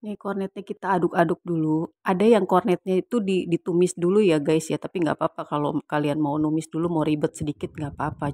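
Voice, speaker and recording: Hoarse joking woman. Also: low voice, darkish tone, no echo. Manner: playful joking banter. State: slightly hoarse from a sore throat. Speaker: cooking channel host. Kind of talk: casual cooking tutorial chat. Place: home kitchen.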